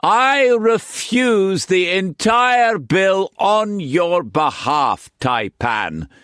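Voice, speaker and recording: A man's voice: sits high, animated, drawn-out words, a bit bright, smooth, no echo, studio quality, pitched above the performer's own voice, exaggerated voice. A man voicing a flamboyant, melodramatic old painter who speaks in grand phrases. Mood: indignant. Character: flamboyant, theatrical old male painter, a rogue.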